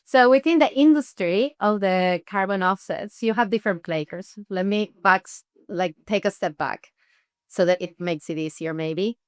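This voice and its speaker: A woman from Peru, an NGO research head, explaining things in an interview with measured, rhetorical questions. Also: medium pitch, clear, resonant tone, no room sound, studio quality.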